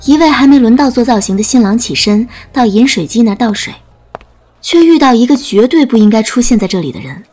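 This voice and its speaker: High, husky female story narrator. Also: expressive, fairly quick, warm tone. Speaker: audiobook narrator. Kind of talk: scripted dramatic story narration. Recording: music bed under narration.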